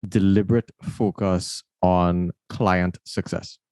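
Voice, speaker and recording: A man's voice: low-pitched, steady tone, slow, a little mellow, clean tone, no room sound. A man hosting an interview, talking thoughtfully and telling stories, often repeating words as he starts his sentences. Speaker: podcast host. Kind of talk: casual interview conversation.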